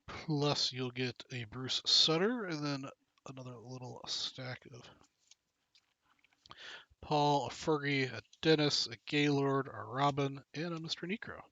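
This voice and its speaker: A man with a bright, forceful voice hosts a casual live stream, joking with viewers and reading off names as he goes. The sound is dull and lo-fi, with a dry, close feel and no room around it. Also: mid-range voice, a little slow, dry recording. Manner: joking, chatty, reads out names and cards. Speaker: live stream card break host.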